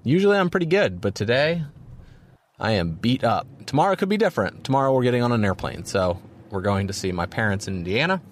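A man's voice: mid-range voice, sing-song, fast, slight background noise. A man in his thirties hosting his own podcast, talking casually and rambling with lots of fillers and self-deprecating jokes, tired from little sleep. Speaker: podcast host. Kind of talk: casual solo podcast monologue.

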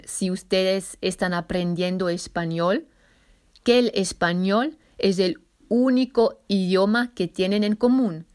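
A woman hosting a solo podcast in careful learner's Spanish, with a slight Argentine accent blended with others and small self-corrections. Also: mid-range voice. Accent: slight Argentine, mixed. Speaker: podcast host.

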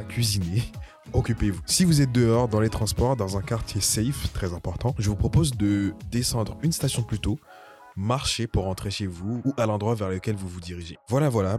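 A man's voice: fairly low pitch, a little mellow. A man hosting a podcast, introducing his guest earnestly and then asking questions with a few hesitant fillers. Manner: earnest, measured, many fillers when asking. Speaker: podcast host. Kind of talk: podcast interview, scripted intro.

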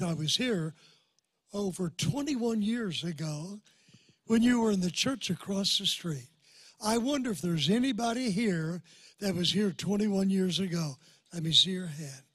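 Hoarse eighty-something preacher, high and husky. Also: male voice, no room sound. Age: 80s. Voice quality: old hoarse voice. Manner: folksy, calls for amens. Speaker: guest pastor. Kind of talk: sermon with personal stories. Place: church sanctuary before a congregation.

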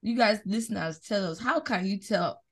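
A young woman from Nigeria co-hosting a podcast, talking animatedly in a casual chat, with quick swings in pitch and loudness. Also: husky. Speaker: podcast co-host.

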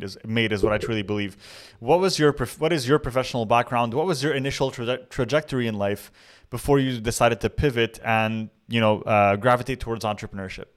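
A man hosting a podcast interview, asking questions in an upbeat, energetic way. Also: medium pitch, clean tone. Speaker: podcast host. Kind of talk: casual interview.

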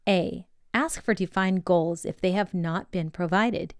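A woman's voice: lowish voice, a little slow, smooth. A woman reading a scripted instructional lesson aloud as a narrator. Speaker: reader of a leadership values lesson.

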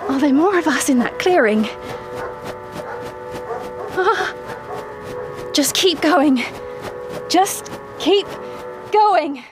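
A woman's voice: high voice, animated, extremely slow, long pauses, heavily acted. A woman playing an anxious, determined parrot in an audio drama, muttering urgently to herself with exclamations. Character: anxious, determined young parrot escaping captivity. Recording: music behind the voice.

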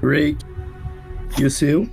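A woman's voice: deep voice, drawn-out words, creaky, pitched below the performer's own voice, exaggerated voice. A guarded young male student who answers in short, evasive bits, as a woman drops her voice to play him. The tone sits well below her own, with faint music underneath. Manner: curt, evasive replies. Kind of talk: playing a character in a tabletop game.

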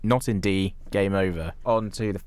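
A man's voice: low-pitched, moderate pace, a little mellow, clear, resonant tone, no echo. A man hosting a film podcast in a casual, chatty style. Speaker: podcast host.

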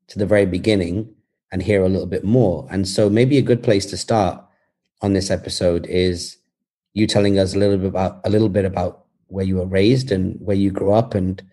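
Deep, mellow-voiced male interviewer. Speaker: podcast producer and interviewer. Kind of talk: interview questions.

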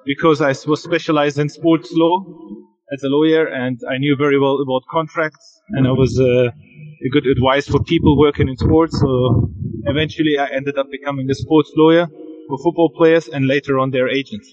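A man answering interview questions from outdoors over a remote call, measured and a little repetitive. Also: mid-range voice, fairly even intonation, a little mellow, dull audio. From Germany. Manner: measured, repeats himself, light fillers. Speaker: podcast guest, football agent.

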